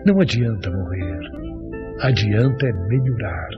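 A man reciting spiritist verses on a web radio program in a solemn, measured way. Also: on the low side, in a large hall, highs cut off, music in the background. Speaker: radio announcer.

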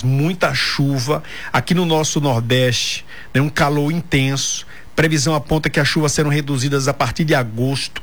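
A man's voice: mid-range voice, a bit bright. A man hosting a casual radio talk show, joking with his co-host and reading news items aloud.

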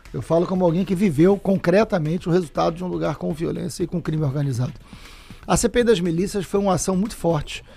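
Fast-talking Rio man with gravelly voice. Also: fairly high pitch, warm tone. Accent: Rio de Janeiro Portuguese. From Brazil, Rio de Janeiro state. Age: middle-aged. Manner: earnest, insistent, repeats key phrases. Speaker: politician and author guest. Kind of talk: interview talk.

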